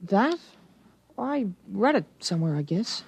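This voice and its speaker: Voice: mid-range voice, big pitch swings, measured pace, vocal fry, slightly rough, full character voice. An actor playing a polite, guarded schoolboy with hesitant, youthful talk, in a vintage radio drama style. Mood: earnest. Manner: hesitant, stammering, youthful slang. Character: schoolboy with a secret adult knowledge, guarded, polite.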